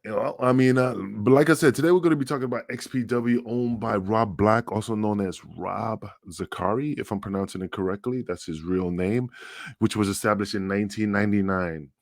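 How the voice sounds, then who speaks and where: A man's voice: lowish voice, fairly quick, dry recording, clean studio sound. A man from the United States hosting a casual podcast, talking loosely with lots of fillers and hyping up his guest. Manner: hype-man intro, loose and chatty with many fillers. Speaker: podcast host, former wrestler.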